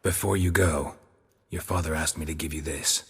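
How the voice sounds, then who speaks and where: An actor playing a gruff, world-weary monster hunter in a video game, terse and deadpan with a gravelly voice. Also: male voice, rumbling low, moderate pace, in a large hall, heavily acted. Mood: measured. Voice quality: gravelly, husky. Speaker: monster slayer for hire.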